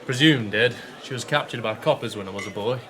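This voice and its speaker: A man's voice: mid-range voice, brisk, a crowd in the background, lightly acted. An actor playing a cheeky but kindly young working-class Victorian man with an English accent, informal and slangy, in a period radio drama. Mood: sorrowful.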